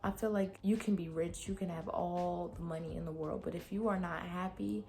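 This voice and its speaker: Low-voiced young woman, a bit raspy. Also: steady tone, moderate pace, warm tone. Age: young adult. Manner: candid, emotional, talks straight to viewers. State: raspy throat from a cold night. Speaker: vlogger. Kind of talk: personal reflective vlog. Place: her room.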